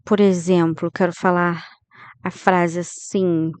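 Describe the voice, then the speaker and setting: A Brazilian woman in her thirties runs a grammar lesson, unhurried and patient in her delivery. Her voice comes through smooth and clean, recorded close and dry with no room sound. She keeps asking the class if they're with her. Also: on the low side, flat delivery, darkish tone, no echo. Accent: Brazilian Portuguese. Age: 30s. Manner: didactic, checks in with listeners often; slows down to model English phrases. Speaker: English teacher.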